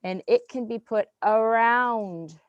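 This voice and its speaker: Slow, patient teacher. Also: female voice, fairly high pitch, smooth, no room sound, dull audio. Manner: patient, step-by-step counting aloud. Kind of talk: online math class review. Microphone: video call.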